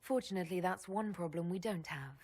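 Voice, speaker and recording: A woman playing a composed, wry young elven leader in a video game, speaking in a measured way with a husky voice. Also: fairly low pitch, a little mellow. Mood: wry, playful. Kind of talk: video game cutscene acting.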